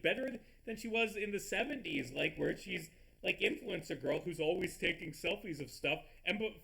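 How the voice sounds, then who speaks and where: Stuffy-nosed guy with a gravelly voice rambling over his game, swears a lot, keeps tripping on his words. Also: on the high side, sharp tone. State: stuffy nose. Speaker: gaming video host. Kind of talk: casual commentary over a gameplay recording.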